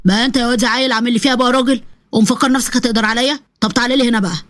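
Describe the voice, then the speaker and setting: A woman's voice: fairly high pitch, steady tone, very fast, lightly acted. A woman voicing an abusive, threatening husband with an Egyptian Arabic accent and a harsh, scolding manner. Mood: menacing.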